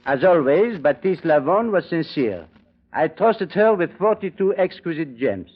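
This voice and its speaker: A man's voice: on the high side, very animated, unhurried. A man playing a pompous, courtly French crook with a French accent and hesitant, mock-polite menace in vintage radio drama. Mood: oily, polite. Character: suave, menacing French crook, pompously proclaiming his sincerity. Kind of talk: 1940s-50s radio drama acting. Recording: muffled vintage radio broadcast.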